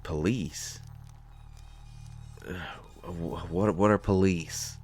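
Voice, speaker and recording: A man playing a nervous, bookish survivor in a sci-fi audio drama, speaking haltingly with frequent stammers. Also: low voice, a little mellow, soft background music. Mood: puzzled, awkward. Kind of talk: scripted sci-fi audio roleplay, solo acting.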